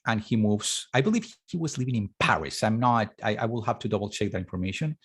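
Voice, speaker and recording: Lively male art lecturer. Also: lowish voice, fast talker, husky. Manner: animated, thinking aloud with fillers and self-corrections. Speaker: art talk presenter.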